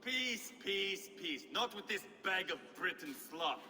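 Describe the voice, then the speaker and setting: Sharp, swooping, sneering Viking warrior. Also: male voice, sits high, lively intonation, unhurried, full character voice. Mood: hostile. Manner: sneering, taunting, crude, theatrical. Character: unstable, mocking, sadistic Viking warrior man. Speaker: video game character actor. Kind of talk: dramatic game cutscene acting.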